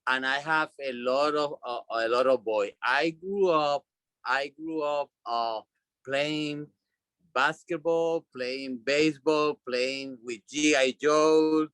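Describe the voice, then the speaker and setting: A man's voice: fairly high pitch, takes it slowly, a bit bright, clean tone, dry recording, rough recording. A man from Puerto Rico with a Puerto Rican Spanish accent, chatting as an interview guest, repeating and restarting words as he tells his story. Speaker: guest, doll designer. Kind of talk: casual interview chat.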